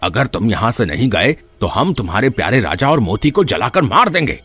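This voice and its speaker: A man playing a ruthless circus animal poacher in an animated story, with a threatening, villainous manner. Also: lowish voice, fairly quick, background noise. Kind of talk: dramatic animated story acting.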